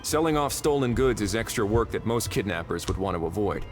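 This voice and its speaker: A man's voice: fairly low pitch, animated, a little fast, close to an everyday voice. A man voicing a shrewd, composed official with dry, wry authority in a video game. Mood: analytical, composed. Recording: faint music behind the voice.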